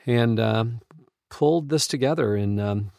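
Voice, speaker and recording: A man hosting a podcast in casual studio chat, speaking in a halting, earnest and enthusiastic way. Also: medium pitch, gravelly, mellow, dry recording. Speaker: podcast host. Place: recording studio.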